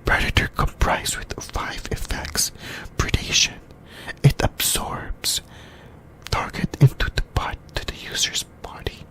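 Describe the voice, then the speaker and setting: Whispered voice, ASMR discussion host, breathy, very slow pace, halting short phrases. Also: pushed and bright. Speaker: host of an anime discussion channel.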